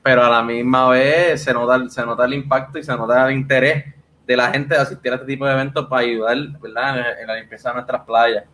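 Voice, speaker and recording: A man's voice: mid-range voice, flat delivery, a little fast, fairly bright tone. A young man with a Puerto Rican accent interviewing casually as a podcast host, in informal, colloquial speech. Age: young adult. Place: indoor room on a video call. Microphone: studio microphone on a boom, headphones. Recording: faint background noise and ambience.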